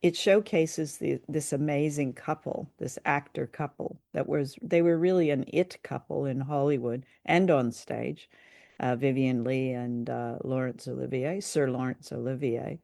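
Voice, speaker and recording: A woman's voice: rumbling low, fairly even intonation, measured pace, gravelly, mellow, dry recording, studio quality. A woman co-hosting a casual discussion of film and radio drama, speaking in a conversational, appreciative way. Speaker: podcast co-host.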